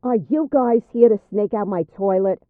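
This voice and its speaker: A nosy, blunt neighbour played by a woman in an audio drama. Her mid-pitched voice sounds dull and muffled, a little off from the mic. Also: normal speed, dry recording, lightly acted. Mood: curious. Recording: muffled, distant, dull band.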